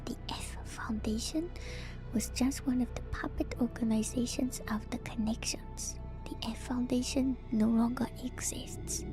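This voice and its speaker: A woman streamer whispering an ASMR lore commentary to her viewers in a hushed, musing, unhurried way. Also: on the high side. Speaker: VTuber streamer. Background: music.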